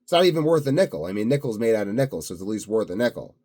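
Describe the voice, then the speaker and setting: A man's voice: on the low side, big pitch swings, rapid-fire, a little mellow. A self-assured, blunt man rambling unscripted to his viewers in a grandiose solo monologue. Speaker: solo video host.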